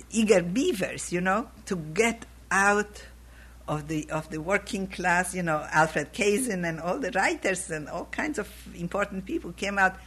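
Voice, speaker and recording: Older woman, slightly scratchy, reflective and warm in memory. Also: on the low side, slightly rough. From Poland. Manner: reflective, animated when recalling youth. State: scratchy voice from a cold. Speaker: interviewee. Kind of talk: oral history recollection.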